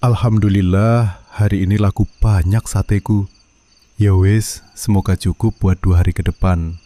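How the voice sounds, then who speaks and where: A man voicing a patient, poor middle-aged satay seller, meek and soft-spoken. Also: low voice, steady tone, normal speed, close to an everyday voice.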